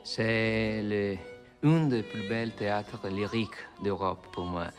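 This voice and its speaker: A man with an Italian accent giving an explanatory tour-style talk in halting, hesitant phrases. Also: fairly low pitch, faint music behind. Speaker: opera house representative, interviewee.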